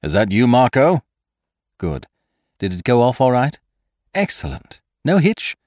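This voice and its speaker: A man voicing a triumphant, mocking gentleman thief in an audiobook, theatrical and commanding, firing off questions and taunts. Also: mid-range voice, wildly swooping pitch, a little slow, no room sound, studio quality, pitched above the performer's own voice. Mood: brisk, scheming. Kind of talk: dramatised audiobook character voice.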